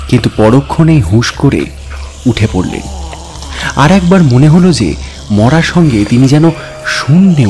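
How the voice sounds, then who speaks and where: A man gives a dramatic, suspenseful narration of a scary story in deliberate, theatrical phrases. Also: medium pitch, dark tone, slight background noise. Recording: voice over background music. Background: music bed.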